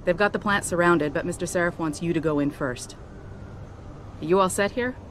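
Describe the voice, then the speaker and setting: An actress playing a brisk, frank helicopter pilot in a video game, speaking in a familiar way. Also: female voice, lowish voice, lightly acted. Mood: businesslike. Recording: vehicle ambience noise behind voice.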